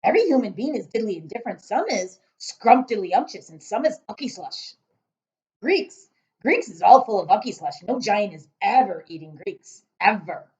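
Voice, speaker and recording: A woman voicing a huge, boastful male giant from a children's storybook, emphatic and booming, with mangled grammar. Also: animated, normal speed, lo-fi sound, close to an everyday voice. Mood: loud, indignant. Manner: emphatic, shouting, mangled grammar and invented words. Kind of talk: children's storybook read-aloud.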